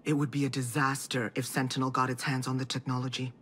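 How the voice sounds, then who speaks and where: A woman's voice: very deep voice, little pitch movement, a little hiss or hum. Composed, principled older woman. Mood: earnest. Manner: measured, formal, earnest. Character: composed, principled older female community leader. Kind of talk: cinematic video game acting.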